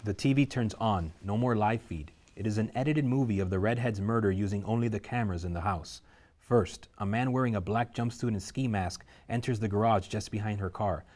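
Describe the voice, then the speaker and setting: A man reading the stage directions aloud at a script table reading, steady and measured. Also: fairly low pitch.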